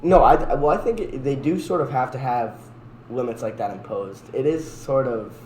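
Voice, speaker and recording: Male podcast co-host, opinionated, conversational, echoey room with clear background noise. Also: medium pitch, normal speed, a little mellow. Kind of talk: casual debate among friends.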